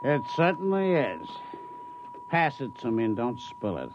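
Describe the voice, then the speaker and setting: An actor playing a bored, grumbling reluctant hunter with a dry, dismissive manner in a 1950s radio drama. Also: male voice, medium pitch, sing-song, unhurried, music in the background. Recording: dull, noisy vintage radio recording.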